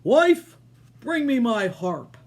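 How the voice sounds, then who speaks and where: A woman reading aloud, voicing a huge, greedy, bad-tempered male giant with slow, roaring commands. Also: fairly low pitch, lively intonation, full character voice. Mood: bellowing.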